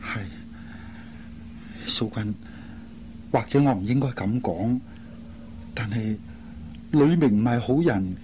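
A man's voice: mid-range voice, slow, pauses often, warm tone, natural voice. An actor playing an earnest, concerned airline crewman in a radio drama. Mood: grave, cautious. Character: earnest, concerned man, a flight crew colleague. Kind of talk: Cantonese radio drama acting. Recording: dull lo-fi recording, faint noise.

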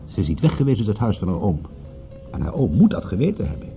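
Sardonic private detective, radio drama, very dark soft-edged tone, swinging intonation, dry delivery. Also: male voice, on the low side, big pitch swings, quick pace. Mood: measured, probing. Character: wry, hard-up male private detective, direct and sardonic. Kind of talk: Dutch radio play dialogue. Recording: muffled old radio recording, faint music.

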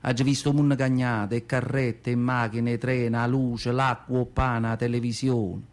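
A man's voice: mid-range voice, flat delivery, normal speed, lightly acted. A man playing a nearly hundred-year-old poor, devout woman from southern Italy, telling her memories in a radio monologue with a Campanian accent and folksy dialect. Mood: weary, proud. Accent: Neapolitan-area southern Italian (Campania). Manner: folksy dialect storytelling, plaintive, repeats words for emphasis. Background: acoustic guitar music.

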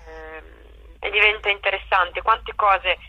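A woman theatre director and actress in a relaxed interview, reflective and searching for words, with frequent restarts. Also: mid-range voice, measured pace, no echo, dull audio. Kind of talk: conversational interview.

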